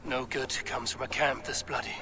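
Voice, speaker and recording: A man's voice: medium pitch, fairly even intonation, natural voice. Man playing a grave Viking warrior, noisy background. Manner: measured, grave, blunt. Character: stoic Viking warrior, a blunt, grave sellsword. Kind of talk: video game cutscene acting. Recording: clear background noise.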